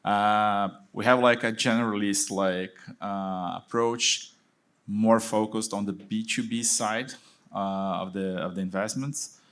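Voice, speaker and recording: A man's voice: lowish voice, fairly even intonation, slow, a bit bright, smooth. A Brazilian-accented man, a venture partner, introducing himself on a panel with many fillers and hesitations. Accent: Brazilian Portuguese.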